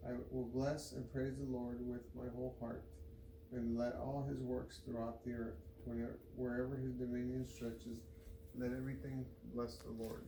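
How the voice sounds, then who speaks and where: A man reads a devotional passage aloud in a measured, deliberate way. His pitch stays very even, and the recording is echoey with clear background noise. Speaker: scripture reader. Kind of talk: devotional Bible reading aloud. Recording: roomy echo, loud background noise.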